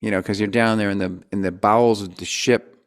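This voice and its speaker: A man hosting a casual podcast interview, playful and quick to riff on jokes. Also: on the low side, steady tone. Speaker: podcast host.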